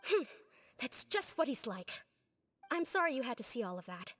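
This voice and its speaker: A prim young lady from a video game. Her voice is high and expressively melodic, polite with a haughty edge, ringing with long reverb. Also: sing-song, normal speed, fairly bright tone, exaggerated voice. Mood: exasperated. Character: haughty, sharp-tongued but courteous young lady.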